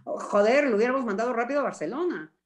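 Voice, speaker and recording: A Mexican woman talking in a casual discussion among colleagues, animated and ironic, with many hesitations. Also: fairly high pitch, racing. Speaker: discussion participant.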